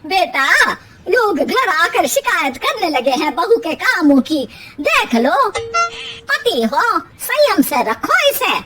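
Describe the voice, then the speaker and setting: A woman's voice: extremely high pitch, sing-song, slow pace, bright, forceful tone, soft background music, pitched above the performer's own voice, heavily acted. A woman voicing a stern, traditional mother-in-law in a cartoon story, scolding and complaining.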